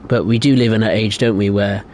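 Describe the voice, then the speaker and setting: A man's voice: fairly low pitch, steady tone, brisk, a little mellow, a little hiss or hum. A young man streaming a game, chatting casually and rambling with lots of hesitations while giving his opinions. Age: young adult. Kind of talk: casual live-stream chat.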